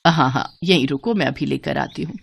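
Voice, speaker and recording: A woman's voice: low voice, brisk, vocal fry, slight background noise, pitched below the performer's own voice. A woman voicing an illiterate, trusting older mother-in-law in a story, homely, earnest and a little fretful. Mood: obliging.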